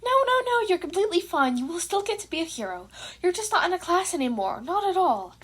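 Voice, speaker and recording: Woman voicing a chirpy little chimera mentor, very high. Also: very animated, fast talker, fairly bright tone, heavily acted. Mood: cheerful, reassuring. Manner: chirpy, reassuring. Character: small chipper male chimera principal, scheming mentor. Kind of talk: fan fiction audiobook character voice.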